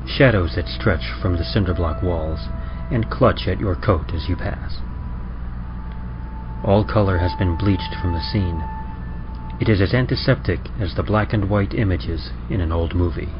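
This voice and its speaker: Deep, slow, ominous man as horror train storyteller. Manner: deliberate, dramatic, solemn. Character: ominous male horror train conductor and storyteller, grave, theatrical. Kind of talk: scripted horror narration and verse, old-time radio style. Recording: muffled sound with music underneath.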